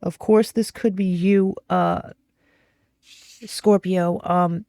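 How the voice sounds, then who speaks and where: A woman's voice: on the low side, fairly even intonation, drawn-out words, warm tone, no room sound, studio quality. A woman giving a casual, conversational tarot reading in a direct, informal, slightly salty manner. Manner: direct, informal, mildly salty, frequent check-in questions. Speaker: tarot reader and astrology host.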